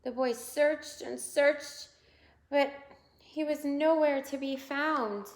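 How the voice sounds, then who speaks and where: A woman reading a picture book aloud to young children in an expressive way, pausing to point out the pictures. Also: sits high. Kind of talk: children's read-aloud storytelling. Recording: roomy, echoing indoor sound.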